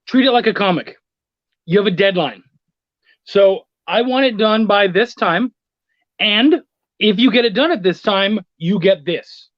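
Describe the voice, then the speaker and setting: A man's voice: sits high, expressive, a little slow, no room sound. A man speaking as a guest in a casual interview, in an informal, conversational way, over slightly lo-fi call audio. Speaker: guest, comics writer, editor and reviewer.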